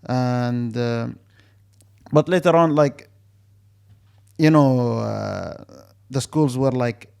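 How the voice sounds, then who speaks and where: Creaky-voiced man, halting. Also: mid-range voice, darkish tone. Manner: halting, searching for words. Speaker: interview guest. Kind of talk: personal story told in conversation.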